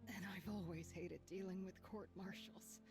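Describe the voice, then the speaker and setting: A woman's voice: on the low side, fairly even intonation, moderate pace, close to an everyday voice. An actress playing a stern, battle-hardened female colonel, weary and remorseful, in a dramatic anime game. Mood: weary, regretful. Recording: loud music behind. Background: loud game music.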